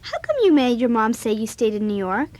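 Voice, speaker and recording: An outspoken young girl in a children's audio drama. Her high voice swings up and down with blunt, eager chatter, like a club leader telling her own story. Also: big pitch swings, a little fast, darkish tone. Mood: indignant.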